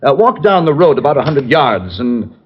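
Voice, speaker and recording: An actor playing a cold, commanding traitor nephew with a husky voice and mockingly polite manner, in an old radio drama. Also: male voice, mid-range voice, sing-song, moderate pace. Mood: coldly polite. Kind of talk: 1940s-50s radio drama acting. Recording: dull, lo-fi old radio recording.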